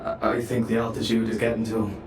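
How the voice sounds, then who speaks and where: A man's voice: on the low side, monotone, quick pace, noisy background, natural voice. An actor playing a worried, hesitant young man, an uneasy companion in a sci-fi game. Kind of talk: cinematic video game acting. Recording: echoey, distant from mic.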